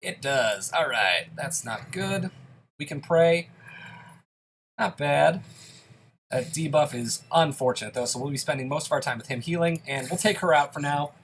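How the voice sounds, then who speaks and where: A man's voice: a little high, lively intonation, moderate pace, a bit bright, slight background noise. A young man giving casual, self-deprecating play-by-play commentary on a dungeon game while a bit sick. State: slightly sick, occasional coughs. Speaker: gaming YouTuber.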